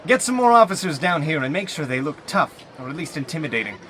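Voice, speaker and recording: A man's voice: a little high, very animated, fast, fairly bright tone, a faint crowd. A man playing a bossy but squeamish police lieutenant in a comedy radio play, fussy and easily flustered.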